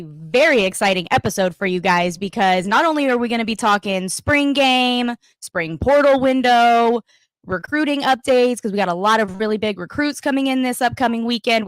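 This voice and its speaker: A woman hosting a casual sports talk show, upbeat and excitable. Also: on the high side, no room sound, poor audio. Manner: upbeat, hyped, quick to laugh. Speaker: podcast co-host.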